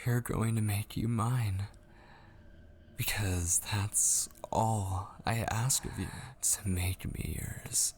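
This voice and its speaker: A man voicing an obsessive, possessive kidnapper in an ASMR roleplay, sweetly menacing and coaxing, with a husky voice that often drops toward a whisper. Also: fairly low pitch, steady tone, slight background noise. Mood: hungry coaxing. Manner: slow, coaxing, teasing endearments. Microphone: close studio microphone, dry.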